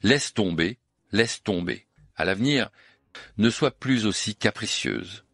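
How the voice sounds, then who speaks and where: A man's voice: fairly low pitch, expressive, slow, a bit bright, no room sound, clean studio sound, full character voice. An actor dubbing a stern middle-aged father who scolds his family about its dignity. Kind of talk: dubbed drama acting.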